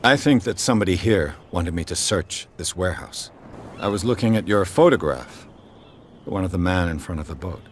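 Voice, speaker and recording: An actor playing a composed, dogged detective in a video game, with a terse, dry, questioning way of talking. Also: male voice, deep voice, expressive, a little fast, slight background noise. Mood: composed, curious.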